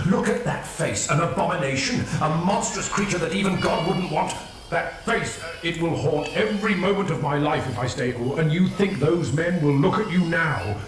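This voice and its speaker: A man's voice: on the high side, slight background noise, natural voice. A man playing a venomous, preaching husband. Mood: contemptuous. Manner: venomous, preaching, full of religious scorn. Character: bitter, self-righteous husband condemning his wife. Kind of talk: audio drama acting. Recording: very echoey with music underneath.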